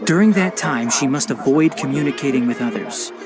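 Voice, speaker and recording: A man playing an earnest, courteous guardian from an old family in a game, speaking in a formal, measured, articulate way. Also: medium pitch, expressive, music in the background, lightly acted. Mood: solemn, explanatory. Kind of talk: scripted game dialogue.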